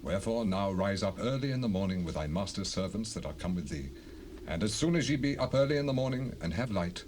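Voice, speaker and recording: Animated, stately king, played by a man. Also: lowish voice, quick pace, close to an everyday voice. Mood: earnest, regretful. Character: regretful, kindly male Philistine king. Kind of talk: dramatized Bible acting. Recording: faintly noisy 1970s cassette rip.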